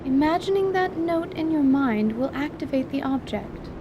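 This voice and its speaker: A woman playing a composed, scholarly magic teacher, patient and reserved, with formal, measured wording in a fantasy audio drama. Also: high-pitched, expressive, highs cut off, background noise, voice pushed up. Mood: composed, gentle.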